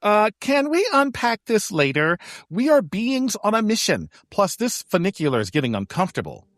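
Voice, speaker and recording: A woman's voice: mid-range voice, very animated, normal speed, dry recording, studio quality, close to an everyday voice. A woman playing a pompous, arch circus performer on an adventure, speaking grandly in an audio drama. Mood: arch, impatient. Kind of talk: audio drama character acting.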